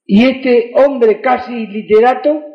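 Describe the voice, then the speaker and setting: An older Argentine pastor preaches with a Rioplatense accent, his voice pitched high for a man. He presses the crowd with rhetorical questions and calls for amens. The handheld mic sounds dull and the church hall rings around him. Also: takes it slowly. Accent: Rioplatense Spanish. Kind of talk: fiery sermon with audience call-and-response. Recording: roomy, dull church recording.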